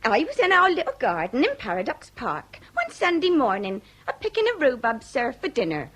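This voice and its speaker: Comic period radio drama: melodramatic working-class Victorian widow, talkative, high-pitched, very expressive range, mock-grand folksy phrasing. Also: female voice, normal speed, heavily acted. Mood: garrulous reminiscing. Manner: theatrical lamenting, folksy mangled grand words.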